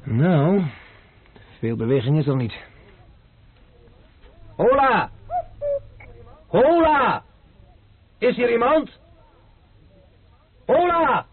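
An actor playing a bold, ironic French gentleman adventurer, theatrical, mocking and commanding, in an old radio play. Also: high voice, big pitch swings, very slow, long pauses, full character voice. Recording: noisy narrow-band old radio recording.

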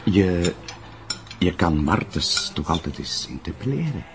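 An actor playing a calm, probing police colleague in an audio drama, speaking with a Flemish accent. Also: male voice, sits low, a little slow, background noise, heavily acted. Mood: earnest. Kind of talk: naturalistic radio drama dialogue.

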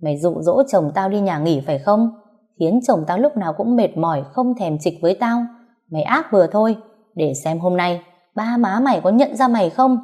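A woman voicing a brash, furious young wife who shouts accusations in a shrill voice. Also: lively intonation, moderate pace, natural voice.

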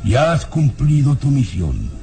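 Slow, swooping radio serial villain. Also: male voice, mid-range voice, wildly swooping pitch, mellow, soft background music. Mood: firm, soothing. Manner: slow, theatrical, gloating. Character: scheming villainous lord of a castle, coldly menacing. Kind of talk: old radio serial acting.

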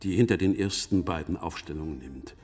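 Deep-voiced French commander in a vast hall. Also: male voice, a little fast, a little mellow, slightly rough. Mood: commanding, assured. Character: French nobleman commander laying out a battle plan. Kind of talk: radio drama acting, military briefing. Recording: long hall reverb, faint music behind.

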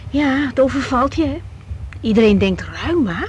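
A woman's voice: high-pitched, lively intonation, moderate pace, darkish tone, slight background noise. An actress playing a young, overworked woman doctor in a radio drama, speaking candidly, colloquially and with emotion. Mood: earnest, explaining. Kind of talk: 1980s Dutch radio drama acting.

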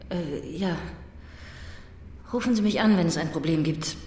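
An actress playing a polite, hesitant service clerk in a radio play. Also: female voice, deep voice, unhurried, a little mellow. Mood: polite, uneasy. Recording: echoey hall, faint ambient noise.

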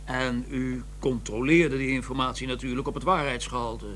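An actor playing a sharp, sarcastic police inspector who questions a man in a radio drama. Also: medium pitch, steady tone, normal speed, slight background noise, lightly acted. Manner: sarcastic, probing. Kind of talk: 1990s radio drama acting.

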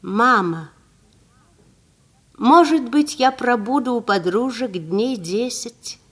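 A woman's voice: fairly high pitch, lively intonation, slow, breathy edge, full character voice. A woman voicing a brave, kind young village girl in a fairy tale, speaking earnestly.

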